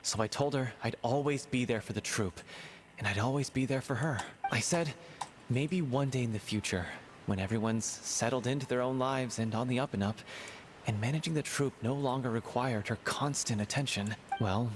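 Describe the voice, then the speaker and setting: An earnest, lovelorn young man, played by an actor, speaks hesitantly and from the heart in a mid-range voice. It is video game drama, with clear ambient noise behind him. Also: medium pitch, moderate pace, lightly acted. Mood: confessional, bitter. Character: earnest, lovelorn young male stage writer.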